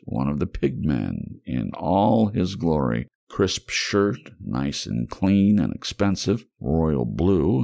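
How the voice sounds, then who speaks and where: A man with an extremely low, gravelly voice narrates noir fiction for an ASMR audiobook. His delivery is brooding and wry, with lively swings in intonation. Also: dry recording, clean studio sound.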